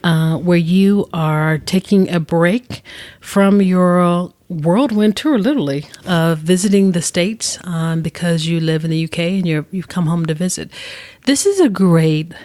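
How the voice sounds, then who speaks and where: Podcast host, woman, lowish voice, polished and welcoming, dry close mic. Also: measured pace, darkish tone, no room sound. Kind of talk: hosting an interview podcast. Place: recording session in Atlanta.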